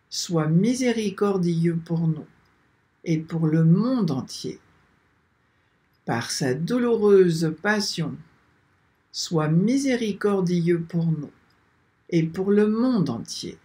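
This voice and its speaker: An older man leading a slow prayer, reciting repeated invocations in a steady, measured chant, filmed by a webcam in a small room. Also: a little high. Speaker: prayer leader.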